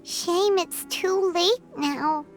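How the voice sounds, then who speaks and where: Squeaky, very high childlike fairy. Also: female voice, very slow, faint music behind, heavily acted. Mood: thoughtful. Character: tiny childlike floating fairy companion, cheeky and bossy. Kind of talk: scripted cartoonish video game voice acting.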